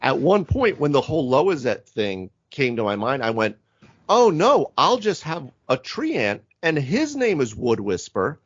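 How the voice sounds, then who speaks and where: Male podcast host, casual gaming talk, animated storyteller, medium pitch, close dry recording. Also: a little slow, no room sound, muffled recording. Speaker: podcast host and game master. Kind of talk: casual tabletop gaming chat.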